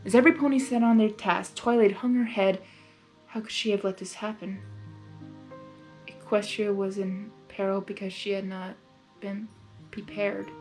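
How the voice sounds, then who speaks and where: A woman reading fan fiction aloud as host, excitable and hyper, breaking off for informal reactions. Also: mid-range voice. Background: music.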